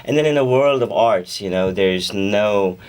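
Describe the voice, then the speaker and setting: Deep-voiced man, rambling and relaxed. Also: a little slow, clean tone, far from the mic. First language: Urdu. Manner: rambling, self-correcting, laughs easily. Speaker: guest singer-songwriter. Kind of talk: radio interview conversation.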